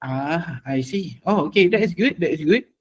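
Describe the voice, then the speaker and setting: A man's voice: fairly high pitch, animated, normal speed, muffled recording, slight background noise. A man with a Malaysian accent hosting an online session, chatting casually with participants and mixing English with Malay. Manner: mixes English and Malay, often repeats phrases. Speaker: webinar host. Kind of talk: casual welcoming chat with participants. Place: online video call.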